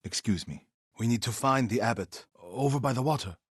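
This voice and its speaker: Husky, earnest warrior monk. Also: male voice, mid-range voice, expressive, a little fast, no echo, clean studio sound. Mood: quiet, grave. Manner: solemn, formal, earnest. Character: earnest, devout Japanese warrior monk, loyal and grieving. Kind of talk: video game voice acting, dramatic dialogue.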